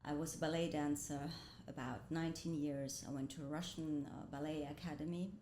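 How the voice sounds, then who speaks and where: A woman's voice: steady tone, a little fast. A woman who grew up between Indonesia, Germany and the US, telling her life story as a guest in a reflective way. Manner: reflective, frequent soft laughs. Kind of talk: personal storytelling in conversation. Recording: roomy echo with faint rumble.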